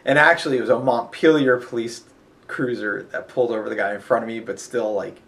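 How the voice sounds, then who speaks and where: A man's voice: medium pitch, fairly even intonation, a little fast, a bit bright. A man with a sniffly nose, chatting casually and rambling about a road trip, full of fillers. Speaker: vlogger. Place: hotel.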